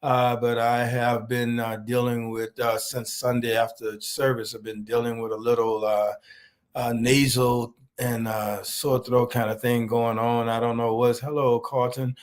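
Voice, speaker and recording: A male pastor with a hoarse, slightly nasal voice from a cold, giving an online sermon in a slow, halting way and greeting viewers by name. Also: mid-range voice, level tone.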